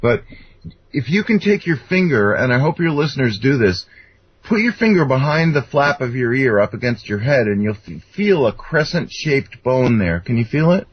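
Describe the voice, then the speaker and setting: A middle-aged or older man talks as an interview guest, his voice husky and of medium pitch. He explains and tells personal stories earnestly, wandering as he goes. The audio is a little dull and lo-fi. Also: very animated, moderate pace. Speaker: interview guest, self-described contactee. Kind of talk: conversational personal storytelling.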